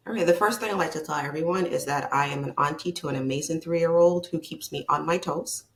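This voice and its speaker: A woman's voice: sits low, quick pace. A woman from Barbados, a leadership consultant, talking as a guest in a conversational podcast interview, reflective and emphatic.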